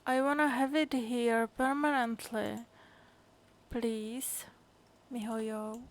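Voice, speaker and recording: A woman's voice: on the high side, flat delivery, slow. A woman game streamer chatting casually and reading game dialogue aloud in a musing, hesitant way.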